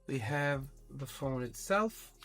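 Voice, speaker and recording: A man presenting a casual tech unboxing, explaining things step by step with small hesitant pauses. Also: medium pitch, lively intonation, fairly bright tone, soft background music. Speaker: tech reviewer presenting his channel.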